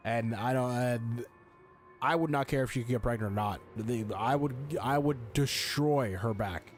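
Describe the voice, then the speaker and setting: A man's voice: mid-range voice, moderate pace, faint music behind. A young adult man doing casual gaming playthrough commentary in a slangy, crude, joking way. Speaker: gaming streamer. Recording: faint background noise with game ambience.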